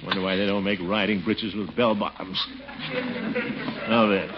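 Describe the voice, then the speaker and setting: A man's voice: sits low, steady tone, normal speed, close to an everyday voice. A man acting a pompous, blustering, vain middle-aged man in a 1940s radio comedy, exclaiming and easily flustered. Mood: exasperated. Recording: noisy, dull 1940s radio recording.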